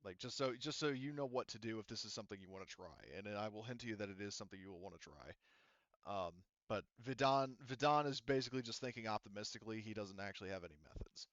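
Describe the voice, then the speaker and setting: A man's voice: lowish voice, fast talker, a bit bright, dry recording, highs cut off. A man serving as game master, relaying a character's words to the players in a hesitant, filler-heavy way. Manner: hesitant, filler-heavy, says "you know" and "like" often.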